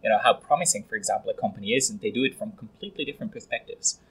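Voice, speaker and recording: A young man from Switzerland with a fairly low, husky voice. He explains things in a casual, conversational way as an interview guest. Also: rapid-fire, a bit bright, poor audio. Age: young adult. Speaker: podcast guest.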